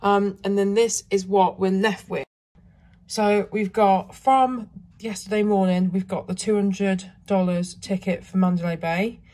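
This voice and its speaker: A woman with a croaky voice from a cold, chatting casually and rambling through a travel vlog with frequent ums. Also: mid-range voice, steady tone, a little slow, warm tone. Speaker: travel vlogger.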